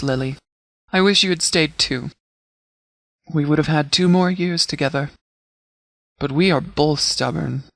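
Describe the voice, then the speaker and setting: A man playing a stern, protective post-apocalyptic sheriff in a video game, speaking in a formal, deliberate, fatherly way. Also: fairly high pitch, a bit bright, no echo. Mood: tender, serious. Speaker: video game mod character actor. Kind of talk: scripted game dialogue.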